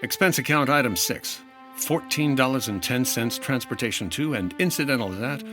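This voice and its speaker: A man's voice: mid-range voice, moderate pace, natural voice. Man acting a terse hard-boiled radio detective over music. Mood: dry, matter-of-fact. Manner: terse, deadpan. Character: hard-boiled male freelance insurance investigator, dry and unflappable. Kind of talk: 1950s radio detective acting, clipped noir narration.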